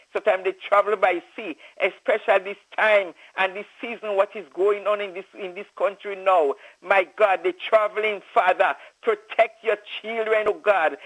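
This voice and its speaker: A man's voice: sits high, a bit bright, low-quality recording. A man leading a fervent spoken prayer, calling out "oh God, Father" between his phrases. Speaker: prayer leader.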